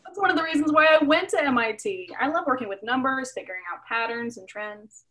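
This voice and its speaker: A woman's voice: high voice, lively intonation, a little fast, pushed and bright, poor audio. A woman playing a chatty young analyst. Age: 20s.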